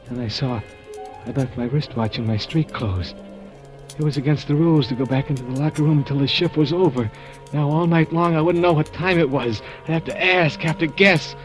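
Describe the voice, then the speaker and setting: An actor playing a jittery young male factory worker who narrates in tense, halting first person in a vintage radio drama. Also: mid-range voice, close to an everyday voice. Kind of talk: 1940s radio acting.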